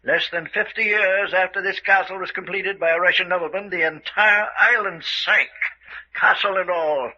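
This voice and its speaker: A man's voice: sits high, fairly even intonation, normal speed. A man acting an older, genteel villain who rules a castle, smug and coolly menacing, taunting with dry chuckles in an old-time radio mystery style. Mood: matter-of-fact. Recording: dull, lo-fi radio drama recording.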